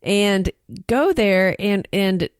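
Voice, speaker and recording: A woman's voice: mid-range voice, animated, very slow, clear, resonant tone, dry recording, studio quality. A woman with a Texan accent co-hosting a therapy podcast in casual, earnest chat, laughing easily.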